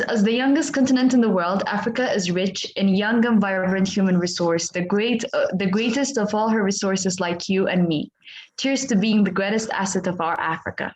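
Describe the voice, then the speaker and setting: A woman moderating an online session, formal and hesitant, reading a prepared message aloud. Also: medium pitch. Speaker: webinar moderator. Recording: echoey, dull online audio.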